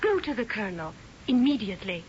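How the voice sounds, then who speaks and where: An actress playing an adoring, effusive young fiancée in a radio drama, affectionate and gushing. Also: female voice, medium pitch, very animated, fast talker, a little hiss or hum, natural voice. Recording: dull old radio recording with music.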